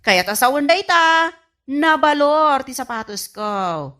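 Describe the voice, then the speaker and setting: A woman's voice: high-pitched, lively intonation, slow, sharp tone, heavily acted. A woman playing a scheming, boastful, whiny wife in an Ilocano radio comedy drama, with an Ilocano accent and a theatrical delivery. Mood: boastful, sassy. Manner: theatrical, whiny, stammers evasively when cornered. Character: scheming, boastful wife who spends borrowed money on appliances.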